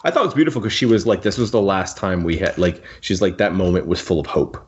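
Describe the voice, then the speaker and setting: A man's voice: deep voice, quick pace, breathy edge. A man hosting a casual podcast discussion in a wry, jokey, rambling way. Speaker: podcast host. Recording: dull, lo-fi audio.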